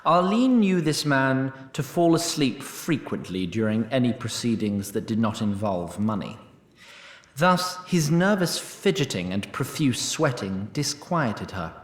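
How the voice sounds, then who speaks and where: A man reads scripted fantasy narration at a medium pitch. His delivery is wry and literary, with animated rises and falls. The sound has a noticeable room echo. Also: measured pace.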